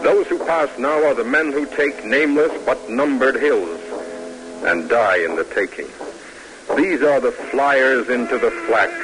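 A man's voice: medium pitch, a little slow, poor audio. An American man narrating an old-time radio drama in a solemn, stately, oratorical way. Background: music.

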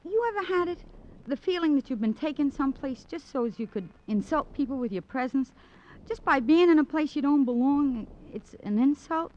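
Brassy young dame, lively and high. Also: female voice, fairly quick. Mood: wry, wounded. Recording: dull vintage radio recording.

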